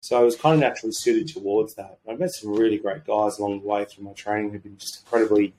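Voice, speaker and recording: A slightly croaky Australian man talking in a rambling, informal, mildly sweary way as a podcast guest. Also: fairly low pitch, steady tone, fast talker, mellow, low-quality recording. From Sydney, Australia. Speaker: podcast guest, medical doctor and entrepreneur. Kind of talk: casual conversational interview.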